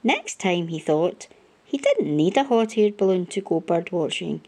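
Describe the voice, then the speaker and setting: A woman reading a children's storybook aloud as an expressive narrator. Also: fairly low pitch, measured pace.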